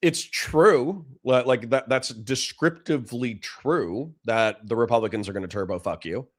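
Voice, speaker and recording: Dry-voiced man ranting to his stream. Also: medium pitch. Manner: blunt, profane, fast restarts. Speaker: livestreamer reacting to chat. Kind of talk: livestream political commentary.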